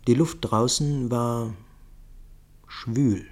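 A man's voice: medium pitch, steady tone, very slow. A man reading scripted literary narration for radio as a matter-of-fact first-person storyteller.

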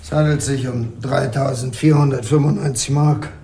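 Actor as a clipped, reserved middle-aged uncle, roomy radio-play sound. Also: male voice, medium pitch, fairly even intonation, moderate pace, mellow, a little hiss or hum, natural voice. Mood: curt. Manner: curt, clipped sentences dropping pronouns. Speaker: uncle character. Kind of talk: 1980s radio drama acting.

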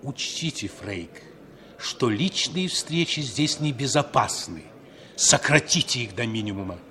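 Suave mid-pitched spy contact. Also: male voice, expressive, unhurried, pushed and bright. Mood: businesslike. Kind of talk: 1960s radio drama acting.

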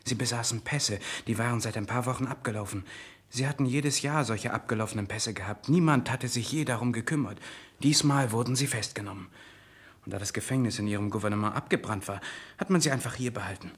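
An actor in a 1960s German radio drama playing a composed political prisoner, speaking in a measured, earnest and commanding way. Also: male voice, medium pitch, husky, lightly acted. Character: composed political prisoner soberly petitioning for fellow convicts.

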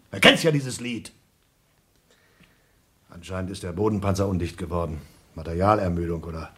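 Radio drama astronaut: lowish pitch, composed, resigned, matter-of-fact stickler for regulations on a moon station. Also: male voice, a bit bright. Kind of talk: radio play acting.

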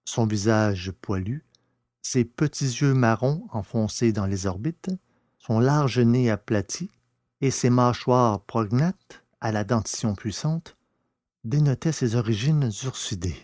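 A man's voice: medium pitch, slow pace, darkish tone, no echo. Male story narrator, dry close sound. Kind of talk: scripted literary narration of science fiction.

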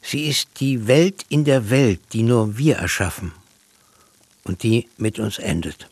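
An actor playing a pensive, cerebral man in a literary radio play monologue, speaking in a measured, reflective manner. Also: medium pitch, lively intonation, darkish tone, slightly rough, no echo. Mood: pensive, questioning. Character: pensive man musing on mind, matter and dreams.

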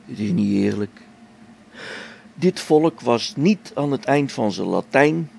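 Radio play: a gravelly male explorer in his middle years, faith shaken, halting delivery dropping to whispers, mid-range voice. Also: medium pitch, animated, a little hiss or hum, full character voice. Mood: anguished. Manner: brooding, halting, sinks to hushed whispers. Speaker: log-keeping space expedition member.

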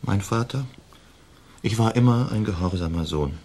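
A man plays a languid young aristocrat in a radio drama. His voice sits a little low, and he talks formally and plaintively. He comes across as a peace-loving, weary son. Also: moderate pace, a little mellow, close to an everyday voice. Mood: resigned. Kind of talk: German radio play acting.

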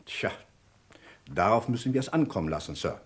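Man playing polite stubborn detective, low voice, reporting to the boss, old radio crime drama. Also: expressive, normal speed, lightly acted. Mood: confident. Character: deferential but determined police chief inspector. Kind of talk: vintage German radio crime drama acting.

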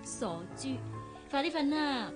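A woman playing a gentle, playful young auntie in a radio drama. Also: high voice, a little slow, music in the background. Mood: gentle coaxing.

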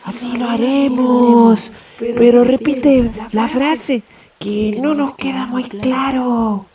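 A woman's voice: on the high side, steady tone, slow, warm tone. A woman playing an obedient animal helper in an amateur radio play. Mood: cooperative.

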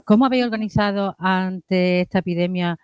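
A radio co-host asking questions in a live interview. The voice is clear and smooth, recorded very dry. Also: medium pitch, fairly quick, a little mellow, no echo.

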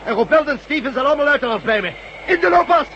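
Brisk, commanding camp chief. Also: male voice, extremely high pitch, animated, pushed and bright, heavily acted. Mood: angry, commanding. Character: gruff, domineering male camp chief barking orders. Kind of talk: Dutch radio drama acting. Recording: dull, very noisy old radio recording.

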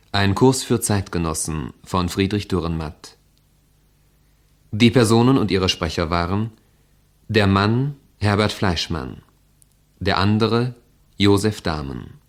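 Slow, low-voiced male radio announcer. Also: expressive. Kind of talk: formal scripted closing credits, 1950s radio.

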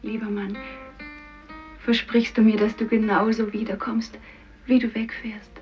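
A woman's voice: fairly high pitch, little pitch movement, unhurried, natural voice. An actress playing a tender, anxious fisherman's wife in an earnest, intimate radio drama style. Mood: anxious, pleading. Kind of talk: 1950s-style German radio drama acting. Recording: dull radio recording with music behind.

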